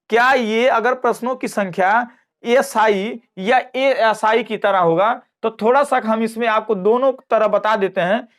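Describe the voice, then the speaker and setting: Man, exam tutor, explanatory lesson style, repeated phrases, frequent check questions. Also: high-pitched. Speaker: exam coaching teacher.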